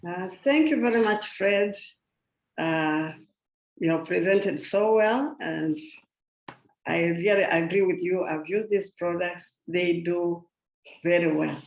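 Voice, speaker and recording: An older woman from Tanzania tells her story slowly and earnestly, her voice low-pitched and gravelly. The sound comes through a video call with a roomy echo. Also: lo-fi sound. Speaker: guest speaker. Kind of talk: personal testimony talk.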